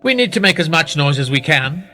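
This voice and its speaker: A powerful, manipulative gentleman of rank played by a male actor in a radio drama. His phrasing is measured and condescending, rising and falling expressively with a quiet threat beneath it. Faint music sits behind the voice. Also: a little high, big pitch swings. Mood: brisk, assured. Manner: measured, condescending, with rhetorical tag questions. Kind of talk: radio play dialogue.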